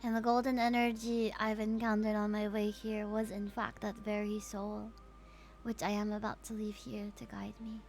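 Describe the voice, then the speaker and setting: A woman's voice: medium pitch, monotone, moderate pace, music in the background. A woman livestreaming a story game, reading the subtitles aloud and reacting with open emotion.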